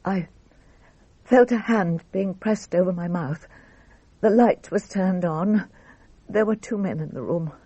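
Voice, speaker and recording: A composed, guarded married lady in a radio drama. Her voice is soft-edged and husky, measured and formal, recorded very dry. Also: lowish voice, no echo, muffled recording. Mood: grave, shaken. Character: composed French wife recounting her ordeal, guarded.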